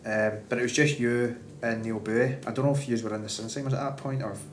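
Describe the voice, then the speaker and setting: A man's voice: mid-range voice, level tone, fast, a little hiss or hum. A Scottish man hosting a podcast, asking rambling questions padded with fillers. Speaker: podcast host. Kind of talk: casual interview chat. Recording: echoey room.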